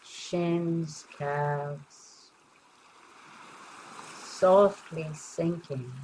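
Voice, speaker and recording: A woman leading a guided meditation in a gentle, soothing way, leaving long pauses between short phrases. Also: deep voice, slight background noise, rough recording.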